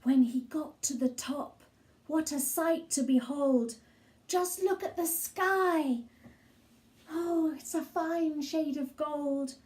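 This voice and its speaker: Slow, high-voiced woman reading a picture book. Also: a little mellow, echoey room. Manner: theatrical, slow and deliberate, with gasps and asides. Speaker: storybook reader. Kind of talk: expressive children's read-aloud of rhyming picture book.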